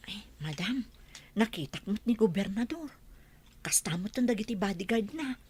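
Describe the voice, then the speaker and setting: An actress with a Filipino accent playing a gossipy, deferential household helper in a radio drama. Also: female voice, mid-range voice, animated, moderate pace, a little mellow, slight background noise, close to an everyday voice. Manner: deferential, chatty, giggly.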